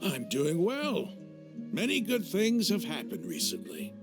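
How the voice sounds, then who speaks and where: A man voicing a hearty, jovial desert mercenary leader in a video game, unhurried and swaggering. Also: high-pitched, wildly swooping pitch, music in the background, heavily acted. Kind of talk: video game dub acting.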